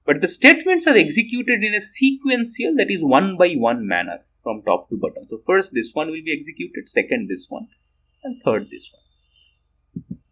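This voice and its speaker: Animated Indian man lecturing on programming. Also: moderate pace, poor audio. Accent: Indian English. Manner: rambling with restarts, lively rising and falling pitch. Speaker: university lecturer.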